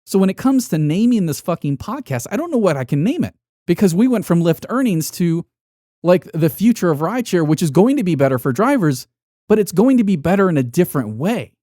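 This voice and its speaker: A man's voice: sits high, big pitch swings, fairly quick, dark tone, dry recording, clean studio sound. A man hosting a solo podcast in an unscripted monologue, ranting bluntly and emphatically with heavy swearing to motivate. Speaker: podcast host.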